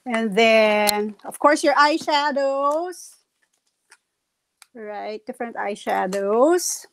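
A middle-aged woman with a Filipino accent and a slightly raspy voice, going through makeup products one by one on a video call. Her voice sits a little high for a woman and comes through earphones. Faint noise hums under it. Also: expressive, drawn-out words, a bit bright. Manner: shows products one by one to camera. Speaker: guest makeup presenter. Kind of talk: casual live video call chat. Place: home room with shelves. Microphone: earphone headset on video call.

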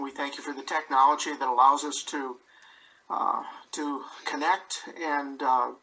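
A man offering a measured, reverent spoken prayer over a video call. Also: fairly high pitch, sharp tone, rough recording. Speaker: church member leading prayer.

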